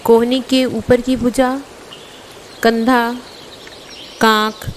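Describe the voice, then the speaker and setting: A woman guiding a yoga nidra meditation as an instructor, giving measured instructions in short phrases with pauses. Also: a little high, little pitch movement, a little hiss or hum.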